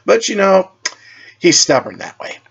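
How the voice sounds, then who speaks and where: A man's voice: high voice, wildly swooping pitch, measured pace, vocal fry, fairly bright tone, slightly rough, voice pushed up, exaggerated voice. A man voicing a stern, sarcastic teenage witch girl in a superhero story. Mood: smirking. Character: stern, smirking teenage witch girl, sharp-tongued feminist.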